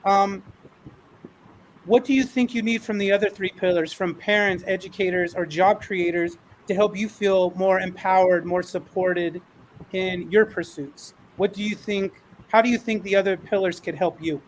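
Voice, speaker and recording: High-pitched man moderating a panel. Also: fairly even intonation, normal speed, slight background noise, rough recording. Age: adult, parent of a newborn. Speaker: panel host and schoolteacher.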